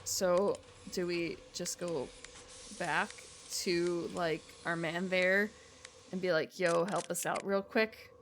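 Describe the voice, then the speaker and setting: A woman's voice: fairly low pitch, fairly even intonation, slow pace, a bit bright, slight background noise. An American woman giving casual, rambling live game commentary as a streamer, chatting informally with viewers. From United States.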